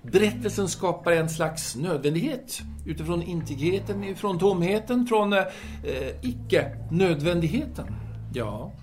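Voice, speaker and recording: A man playing a courteous, self-absorbed philosopher and writer in an audio drama, musing and halting as he explains himself. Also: sits high, animated, faint music behind.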